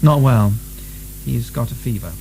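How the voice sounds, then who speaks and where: A man's voice: medium pitch, unhurried, creaky, faint music behind, rough recording. An actor playing a coolly rational asylum deputy in a radio drama, a man who doubts politely and reasons with deference. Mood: sober. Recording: soft recording with faint hum.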